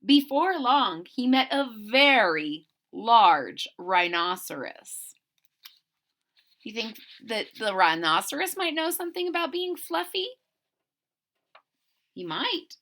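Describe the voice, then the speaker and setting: A woman reading a children's storybook aloud with expressive, dramatic emphasis and chatty asides to young listeners. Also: fairly high pitch, slow.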